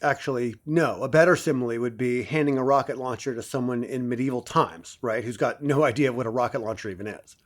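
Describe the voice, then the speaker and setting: A man's voice: medium pitch, a little fast, hardly any pauses, natural voice. A man playing a self-assured professor in an audio drama, lecturing didactically and theatrically as he prompts his class. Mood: didactic, assured. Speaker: university lecturer.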